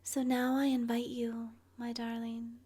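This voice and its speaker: A woman softly narrating an ASMR guided meditation in a breathy, whispery voice, gentle and soothing, leaving long pauses. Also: a little high, little pitch movement.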